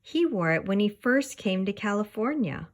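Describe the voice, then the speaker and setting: A woman voicing a gentle, patient mother in a children's storybook, buttery and encouraging as she explains things to her young daughter. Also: mid-range voice, big pitch swings, normal speed, voice pushed up, natural voice. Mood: fond, gentle.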